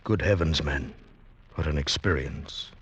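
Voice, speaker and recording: An actor playing a concerned, kindly friend with a grave, solicitous way of talking in a 1940s radio drama. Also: male voice, extremely low pitch, unhurried, fairly bright tone, husky, heavily acted. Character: concerned, kindly male friend of a weary traveller. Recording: muffled vintage radio recording.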